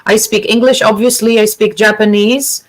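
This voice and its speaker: A woman's voice: mid-range voice, steady tone, moderate pace. A woman whose first language is Greek, chatting casually as a guest on a video call and often restarting her sentences. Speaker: guest musician. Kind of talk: casual video chat interview.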